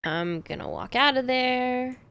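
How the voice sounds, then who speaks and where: A woman's voice: high voice, wildly swooping pitch, unhurried, vocal fry, a bit bright, smooth, dry recording. A woman streamer chatting casually to herself while she plays a game. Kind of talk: casual gameplay commentary.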